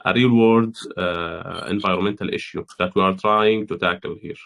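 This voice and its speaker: Lowish-voiced man from Palestine. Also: steady tone, normal speed, creaky, a bit bright. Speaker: project team member. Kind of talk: online AMA conversation.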